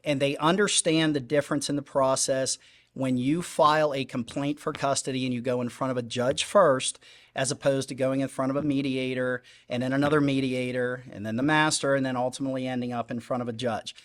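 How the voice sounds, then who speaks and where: A man's voice: medium pitch, fairly even intonation, fairly quick, fairly bright tone. A man in his fifties running for judge, giving an earnest, emphatic statement at a public candidate forum and often repeating his phrasing. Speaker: judicial candidate.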